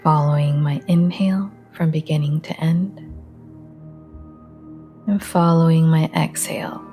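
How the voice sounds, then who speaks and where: A woman guiding a relaxation meditation in a soft, half-whispered, breathy voice, calm and gentle, with long pauses. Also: low voice, soft background music. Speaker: meditation guide.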